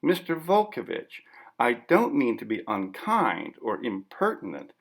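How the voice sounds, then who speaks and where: A man's voice: mid-range voice, very animated, unhurried, creaky, full character voice. A man voicing a formal, persistent interviewer in an audiobook story, politely but firmly pressing an artist. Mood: insistent.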